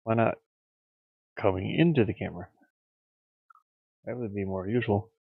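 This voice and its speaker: A man's voice: on the low side, normal speed, creaky, breathy edge, dry recording, narrow, tinny audio. A man thinking aloud in casual live-stream chat, muttering informally to himself as he works. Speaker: streamer and hobby game developer.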